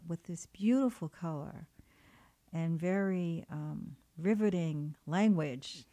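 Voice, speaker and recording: Mellow-voiced woman radio host. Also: on the low side. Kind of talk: radio interview. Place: radio studio.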